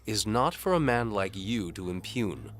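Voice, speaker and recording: A man's voice: lowish voice, lively intonation, measured pace, fairly bright tone, slight background noise, pitched above the performer's own voice. A man playing a pompous, menacing, indignant bishop who schemes in an audio drama. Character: pompous scheming bishop, snarling and cooing, easily outraged. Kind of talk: audio drama character acting.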